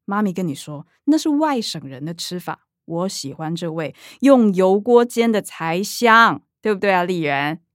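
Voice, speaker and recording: A woman's voice: fairly low pitch, very animated, moderate pace, hardly any pauses, no echo, clean studio sound. Breezy, bustling Taiwanese mother. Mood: teasing. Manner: bustling, exclaiming, teasing. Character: chatty middle-aged mother, breezy, joking, money-minded. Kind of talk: radio drama acting.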